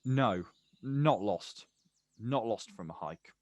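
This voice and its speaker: A man acting a watchful, quietly wounded poet in a naturalistic stage play, speaking deliberately in terse, repeated phrases. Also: lowish voice, animated, no room sound. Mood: tentative.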